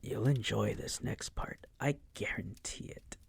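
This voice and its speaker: A man acts out a seductive vampire master in an intimate roleplay audio. His low voice is husky and gravelly, slipping often into whispers. He coaxes slowly and condescendingly, predatory yet doting and possessive. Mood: smug, doting. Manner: slow, teasing, condescending coaxing.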